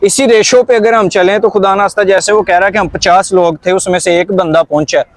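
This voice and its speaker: A man's voice: sits high, fairly even intonation, fairly quick. A man with a Pakistani accent talking in a conversational discussion, explaining earnestly and repeating phrases for emphasis.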